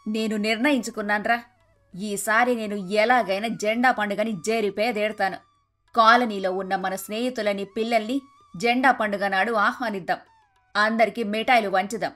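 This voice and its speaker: A woman playing a traditional, domineering and sharp-tongued older mother-in-law in a cartoon moral story. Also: mid-range voice, steady tone, moderate pace, lightly acted. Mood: eager. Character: bossy, traditional, scolding older mother-in-law and household matriarch. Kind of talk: dramatised moral story dialogue. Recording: music behind the voice.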